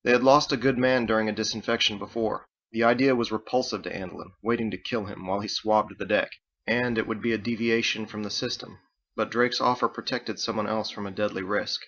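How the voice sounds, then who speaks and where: A man's voice: on the low side, fairly even intonation, brisk, fairly bright tone, dull audio. A man reading his story aloud as dramatic scripted audiobook narration. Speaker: author reading own book.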